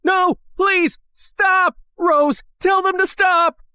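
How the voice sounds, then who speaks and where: A woman voicing a young man, a calm, worried friend who tries to soothe others. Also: mid-range voice, a little slow, dry recording, studio quality. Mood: pleading. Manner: soothing, pleading.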